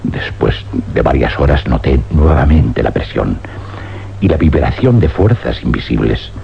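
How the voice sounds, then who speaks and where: Gravelly, haunted, obsessed scientist. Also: male voice, extremely low pitch, lively intonation, slight background noise, full character voice. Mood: grave, intense. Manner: dramatic, emphatic, deliberate phrasing. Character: intense, obsessed scientist doctor, haunted and insistent. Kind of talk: old radio drama acting. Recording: muffled, faintly humming old radio recording.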